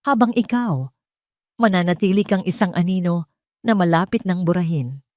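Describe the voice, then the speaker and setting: A woman's voice: lowish voice, normal speed, a little mellow, dry recording, clean studio sound, close to an everyday voice. A woman voicing a haughty, mocking mistress in an audio drama, talking in a taunting, condescending way. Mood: scornful.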